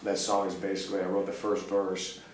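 A young man with a very low voice giving a blunt, profane backstage interview in a laid-back drawl. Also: monotone, fairly quick. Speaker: band member being interviewed. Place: cramped backstage room indoors. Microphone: far camera mic. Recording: echoey room, distant camera mic, noisy.

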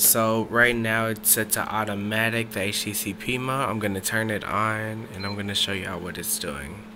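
A man giving a casual, unscripted step-by-step tech tutorial, speaking informally with hesitant pauses, recorded indoors on a handheld camera. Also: lowish voice, background noise. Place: indoors, small dim room at a desk setup. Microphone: handheld camera or phone mic.

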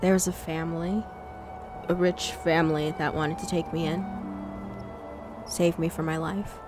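Low guarded wistful lady acted, sci-fi audio drama, music behind. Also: flat delivery, measured pace. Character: guarded, wistful woman with a hard childhood.

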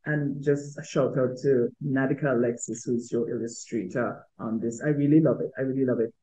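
A woman's voice: a bass voice, fairly even intonation, a little fast, mellow. A woman, interviewing casually as host over a video call. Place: room at home on a video call. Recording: dull video-call audio.

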